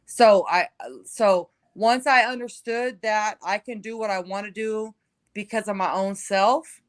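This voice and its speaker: A woman's voice: mid-range voice, fairly even intonation, unhurried, dry recording, clean studio sound. A woman in her forties telling her personal story as an interview guest, conversational, emphatic and self-assured. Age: 40s.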